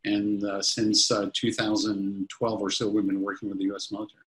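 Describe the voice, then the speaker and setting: Man, 50s, low voice, rambling, fillers and restarts, casual interview. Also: flat delivery, a little fast, fairly bright tone, low-quality recording. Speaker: company CEO guest.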